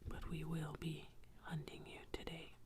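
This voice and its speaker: Lady doing a hungry wolf, slow breathy whisper, sorry but menacing, storybook style. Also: low-pitched, completely flat delivery, a little mellow. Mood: regretful menace. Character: hungry wolf, apologetic yet menacing male hunter. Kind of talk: whispered ASMR bedtime storytelling.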